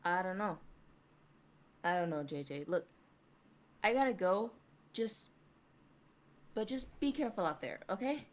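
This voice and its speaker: A young woman playing a nervous, haunted old schoolmate, halting and hesitant, in a dramatic script reading. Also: lowish voice, lively intonation. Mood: grave, paranoid. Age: young adult.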